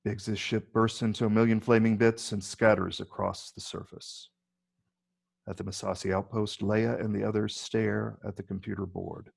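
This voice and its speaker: A man narrates a script's stage directions in a low register. His voice has a heavy gravelly fry as he reads out the scripted action. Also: level tone, moderate pace.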